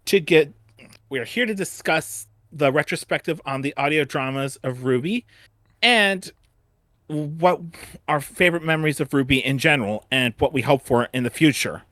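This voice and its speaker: A man's voice: fairly high pitch, expressive, moderate pace, a bit bright, no room sound, clean studio sound. A man hosting a casual fan retrospective panel, often repeating and restarting his phrases.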